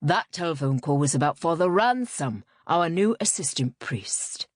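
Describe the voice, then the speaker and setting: A woman's voice: sits low, animated, moderate pace, no room sound, studio quality. A woman voicing a genial, self-assured and slightly pompous male priest in an audiobook, with leisurely, unctuous emphasis. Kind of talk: audiobook character voice.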